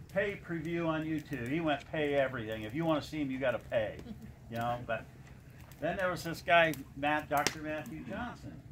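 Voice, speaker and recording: A man in his late fifties giving an informal personal testimonial to an audience in a halting, plain-spoken way with frequent pauses. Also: a little high. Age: late 50s. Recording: noisy live room recording.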